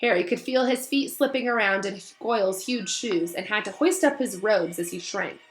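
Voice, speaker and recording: A woman reading a storybook aloud as narrator, expressive, with casual asides to her listeners. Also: mid-range voice, moderate pace, soft background music.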